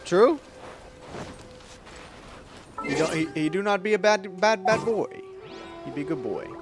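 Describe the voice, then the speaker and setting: A man's voice: high voice, lively intonation, unhurried. A man streaming a game with casual, jokey, slangy commentary. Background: game music.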